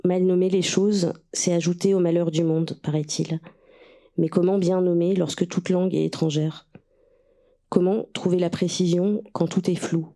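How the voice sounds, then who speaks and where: Gravelly, dark-voiced woman author from Russia. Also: on the low side. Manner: measured reading, then hesitant and searching with many fillers. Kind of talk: reading her own prose, then answering questions.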